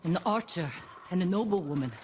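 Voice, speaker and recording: A woman's voice: lowish voice, lively intonation, brisk, a little mellow, slight background noise, lightly acted. A woman plays a practical commoner in a game cutscene. She sounds guarded and sceptical, with dry understatement, and faint background ambience sits behind her. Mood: dry scepticism. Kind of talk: video game cinematic acting.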